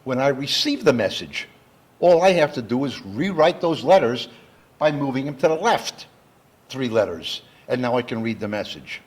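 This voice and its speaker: A man giving an educational public lecture, explaining terms in a deliberate, step-by-step way. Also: mid-range voice, sing-song, husky. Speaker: lecturer, engineer. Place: talk given live to an audience.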